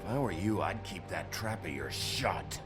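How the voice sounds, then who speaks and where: A man playing a gruff, defiant lawman in a video game, blunt and threatening. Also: deep voice, expressive, normal speed. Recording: music playing behind voice.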